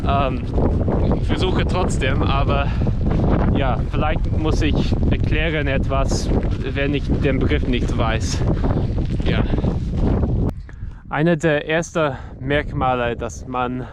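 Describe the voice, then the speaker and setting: A man whose native language is English, talking haltingly with many fillers as he vlogs from a mountain trail. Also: medium pitch. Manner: halting non-fluent speech with many fillers. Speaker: hiking vlogger. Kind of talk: casual walking vlog. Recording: outdoor ambient noise.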